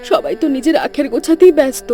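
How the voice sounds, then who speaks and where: A woman plays a tender young village wife in a cartoon, her voice high but mellow and warm. She pleads softly and anxiously with her husband. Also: quick pace, faint music behind, lightly acted. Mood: pleading. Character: gentle devoted young fisherman's wife. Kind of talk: cartoon voice acting.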